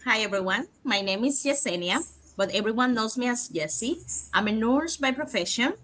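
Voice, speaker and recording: A woman from Cuba, a nurse, introducing herself in a friendly, brisk way on an online panel. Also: fairly high pitch, lively intonation, a bit bright.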